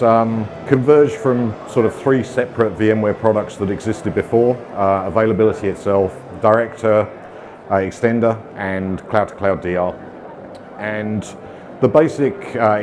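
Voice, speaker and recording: A man's voice: lowish voice, noisy background. A middle-aged man from the UK with a British accent, giving a casual, self-deprecating technical conference talk full of ums and uhs. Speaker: conference speaker. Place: conference room with audience.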